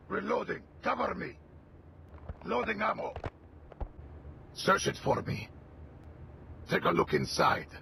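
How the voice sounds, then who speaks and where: A man voicing a vengeful, wrathful militant commander in a video game, gravelly and commanding, delivering menacing combat lines. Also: high voice, pushed and bright, a little hiss or hum, heavily acted. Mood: terse, tactical. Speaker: playable game character.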